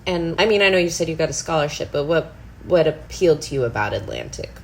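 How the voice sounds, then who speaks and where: A woman's voice: low voice, animated, quick pace, slight background noise. A young woman chatting casually as an interview host.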